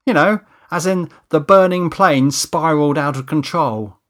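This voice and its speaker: Man acting a wry, louder, raised-pitch crewman. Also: a little slow. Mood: teasing, calming. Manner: wry, teasing. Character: Steve, wry, teasing, long-suffering film crew man.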